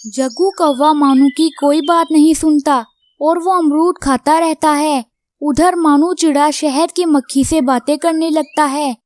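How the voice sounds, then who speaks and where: A woman's voice: high voice, fairly even intonation, measured pace, a little mellow. A woman reading scripted narration for a children's cartoon story.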